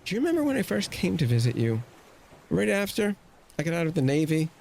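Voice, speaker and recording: Audio drama uncle, middle-aged ex-navy, male actor. Earnest, fretful, lively swings in pitch, some noise behind. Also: quick pace, vocal fry. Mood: reflective, tender.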